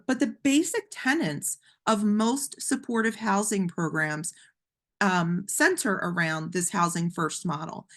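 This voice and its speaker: Woman, slightly hoarse, lowish voice, explanatory webinar talk, ums and restarts. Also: fairly bright tone. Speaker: webinar presenter. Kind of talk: conversational webinar lecture.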